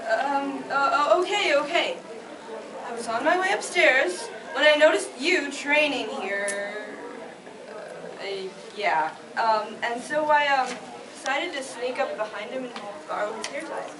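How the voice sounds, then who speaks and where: A woman playing a mischievous, panicky seventeen-year-old boy in a fan fiction reading, excitable and stammering. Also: sits high, a little slow. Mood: nervous stammering. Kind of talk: fan fiction read aloud at a convention panel. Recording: echoey noisy panel room, distant mic, crowd.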